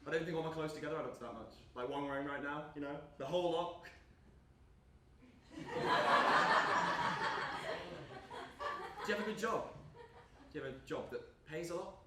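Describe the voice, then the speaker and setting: A man's voice: on the high side, sing-song, normal speed, long pauses. A young man acting on stage as an awkward, eager, lonely gamer, rambling and apologising nervously. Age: young adult. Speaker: actor in a stage play. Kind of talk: live stage acting, naturalistic dialogue. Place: indoor stage performance space. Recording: echoey room from far off, background noise.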